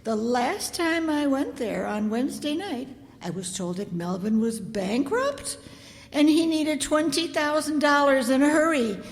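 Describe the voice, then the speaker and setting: A woman's voice: high-pitched, lively intonation, unhurried, long reverb tail. A woman playing a genteel, insistent, credulous married matron in an old-time radio detective drama. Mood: earnest, confiding. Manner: prim, wordy, talks over her husband.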